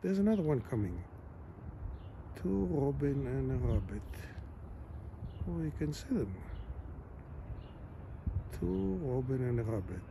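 Man with a foreign accent, unhurried and admiring, lively pitch, outdoor noise behind him. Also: vocal fry. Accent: foreign accent, French or Italian. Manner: gentle, admiring, simple phrasing with non-native grammar. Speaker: vlogger filming a nature walk. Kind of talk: casual walking commentary. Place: outdoors in a city park by a lake.

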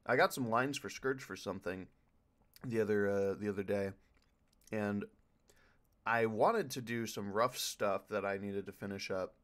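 Low-voiced man chatting on a panel. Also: normal speed, fairly bright tone. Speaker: voice actor panelist. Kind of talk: casual panel Q&A talk.

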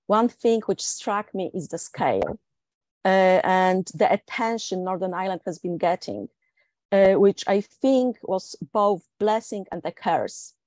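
A middle-aged woman from Poland with a Polish accent, speaking on a discussion panel in a measured, reflective way with many filler sounds. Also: mid-range voice, darkish tone, dry recording.

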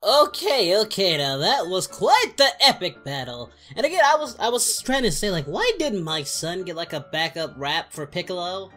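A woman voicing an excitable cartoon hero hosting a reaction video, with animated, exclamatory casual commentary. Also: on the low side, normal speed, few pauses, faint music behind. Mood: mildly indignant. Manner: animated, exclamatory, repeats phrases.

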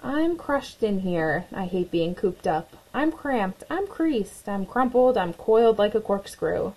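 A woman's voice: medium pitch, very animated, a little slow, warm tone, poor audio. Storybook snob caterpillar, female reader: vain, scornful, haughty and scoffing. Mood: whining. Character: conceited, scornful female caterpillar turned butterfly.

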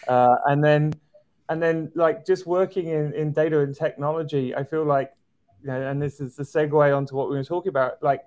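A middle-aged man guesting on a video call from an office, speaking in a thoughtful, measured way. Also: on the high side, steady tone, darkish tone. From outside the US. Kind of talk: casual chat on a video call. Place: office indoors. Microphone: video call webcam.

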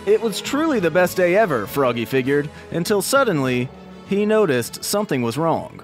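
An American man with a fairly high voice narrates a story for children. He explains things conversationally, as if to young listeners, and music plays clearly behind him. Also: sing-song, normal speed. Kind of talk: scripted storytelling narration for kids.

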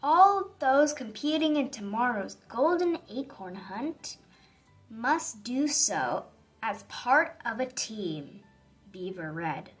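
A woman reading a children's story aloud in an expressive, dramatic manner for young listeners. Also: on the high side, slow pace. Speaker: storybook reader. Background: background music.

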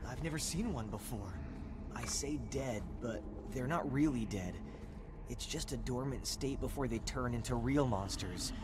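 A man's voice: mid-range voice, normal speed, noisy background, lightly acted. An actor playing a good-natured, earnest young man, a companion in a video game, speaking in a friendly, explanatory way. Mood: grimly explaining.

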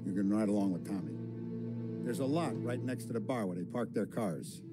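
An actor playing an older mob boss in a game cutscene, measured and paternal, with amused authority. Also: male voice, on the low side, close to an everyday voice. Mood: commanding. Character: older male mob boss, genial and commanding. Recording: game audio with loud music.

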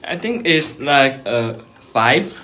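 A young man from Taiwan, chatting casually and haltingly, often repeating words as he gives his view. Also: mid-range voice, expressive, bright, forceful tone. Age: young adult. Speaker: snack taster. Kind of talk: casual on-camera reaction chat. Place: indoor room with block wall, seated at table.

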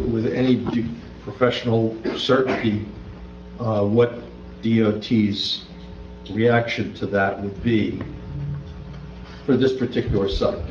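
Hesitant low-voiced man in echoey room. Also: darkish tone, slight background noise. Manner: hesitant, full of fillers. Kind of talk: questioning at a public meeting. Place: large township meeting room. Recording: echoey, muffled room recording.

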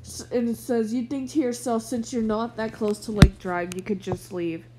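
A woman with a fairly high voice hosts a casual reaction video. She sounds stuffed up with a cold and talks in a gushing, protective, sweary way, switching between comments and reading text out loud. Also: steady tone, moderate pace, very noisy. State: sniffly with a cold.

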